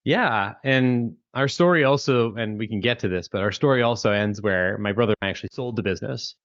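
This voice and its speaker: A man with a Canadian accent talks casually in a lowish voice, full of fillers and quick restarts. The audio is dry and dull, like a remote call. He sounds like a founder in his thirties. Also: a bit bright, no room sound. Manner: fast, chatty, many fillers and restarts. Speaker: guest, startup founder and author. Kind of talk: casual interview conversation.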